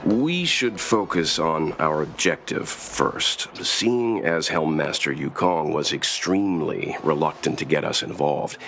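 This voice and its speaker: A man playing a calm, serious, analytical middle-aged mentor in a video game, speaking in a measured, formal way. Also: deep voice, a little hiss or hum. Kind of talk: video game character dialogue.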